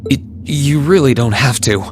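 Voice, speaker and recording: A man's voice: medium pitch, moderate pace, a little hiss or hum, lightly acted. An actor playing a polite, earnest and cautious young man in a video game, speaking a little hesitantly. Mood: polite, modest.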